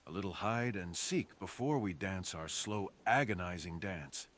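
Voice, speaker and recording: A man's voice: sits low, a little slow, fairly bright tone. A man playing a fox assassin in an ASMR roleplay, speaking theatrically and intimately to the listener, savoring his phrases. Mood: taunting, predatory. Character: menacing, sadistic male fox assassin with a remorseful streak. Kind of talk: ASMR roleplay, close dramatic monologue to the listener.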